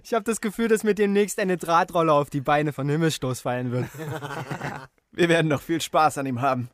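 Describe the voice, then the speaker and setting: A wry soldier played by a male actor in a radio drama, slyly relishing mischief. His voice is pitched a little high. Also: a little fast, close to an everyday voice. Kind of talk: radio play acting.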